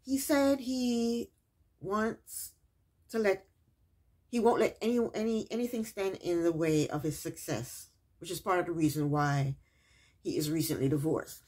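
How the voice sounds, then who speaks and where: A woman's voice: on the low side, a little slow, darkish tone, slightly rough. A woman recapping a reality TV episode in a casual, chatty way, with sarcastic asides and repeated punchlines.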